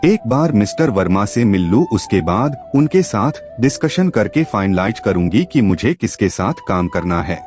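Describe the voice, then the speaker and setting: A composed, decisive young businesswoman acted out by a male narrator in an audio story. The speech is firm and businesslike, with lively pitch movement, over soft music. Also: moderate pace, few pauses.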